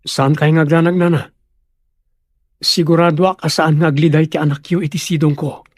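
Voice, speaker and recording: A man's voice: a little high, little pitch movement, moderate pace, dry recording, lightly acted. A man playing an earnest, dutiful grown son in a radio drama, with an Ilocano accent.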